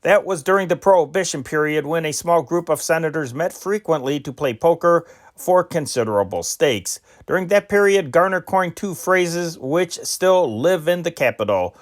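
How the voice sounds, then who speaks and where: A man's voice: fairly high pitch, lively intonation. Amateur man reading old news. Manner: measured, unpolished reading with occasional slips. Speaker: news reader. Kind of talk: reading old newspaper stories aloud.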